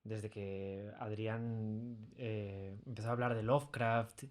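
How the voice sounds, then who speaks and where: A man's voice: fairly low pitch, steady tone, takes it slowly, a loud crowd. Castilian Spanish man chatting in an interview. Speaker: audio drama creator being interviewed. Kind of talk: casual conversational interview. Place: recording studio in Madrid.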